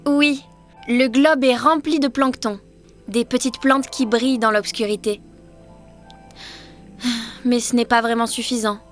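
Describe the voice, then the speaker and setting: A woman's voice: on the high side, fairly even intonation, unhurried, long pauses, soft background music, lightly acted. A woman voicing a friendly young mermaid in a children's cartoon, polite and welcoming in manner. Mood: explaining.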